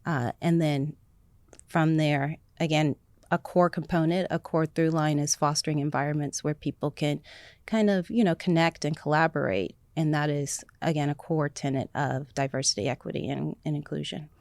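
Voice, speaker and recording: A woman with a lower voice talks as a guest in a relaxed interview. She is warm with enthusiasm and rambles a little, filling pauses with small words. The sound is dry and close. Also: no echo. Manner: enthusiastic, rambling with many fillers. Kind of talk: casual interview chat.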